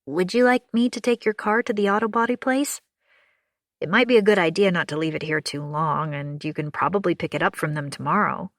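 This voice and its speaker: A woman's voice: lowish voice, a little fast, no echo, studio quality, close to an everyday voice. Woman voicing a chirpy, reassuring friend. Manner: bright, upbeat and soothing. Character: friendly, helpful woman acquaintance, chatty and reassuring.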